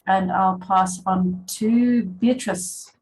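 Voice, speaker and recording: A woman introducing herself on a video call, earnest and halting, with frequent restarts. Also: medium pitch, fairly even intonation, a little mellow, low-quality recording.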